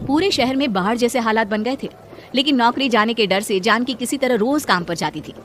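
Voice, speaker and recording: Woman, narrator, calm, high-pitched, scripted storytelling.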